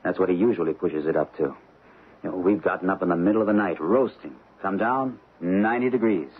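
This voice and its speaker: Uneasy husband, low voice, old radio. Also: male voice, brisk, breathy edge. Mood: puzzled. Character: uneasy husband living in a haunted house. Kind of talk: radio drama acting. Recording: tinny, noisy old radio broadcast.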